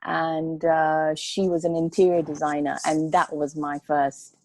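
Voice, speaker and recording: A woman with a lowish voice talks slowly and haltingly on a casual chat show, dotting her sentences with "uh" and "um". The recording is dry and close, with no room sound. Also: little pitch movement, no echo. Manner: hesitant, many fillers, slow and soft. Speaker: co-host and artist.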